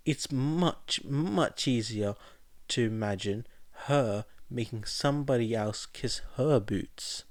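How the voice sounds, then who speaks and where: A man voicing a bewildered, good-humoured teenage boy in an audiobook, boyish and a little halting. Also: medium pitch, no echo, natural voice. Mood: amused. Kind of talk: audiobook character dialogue.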